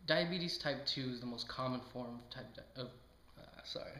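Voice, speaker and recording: A man's voice: mid-range voice, normal speed, sharp tone. A man calling takes and presenting scripted interview lines in an amateur skit, with slips. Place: indoor room, amateur student video. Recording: echoey room with chatter behind.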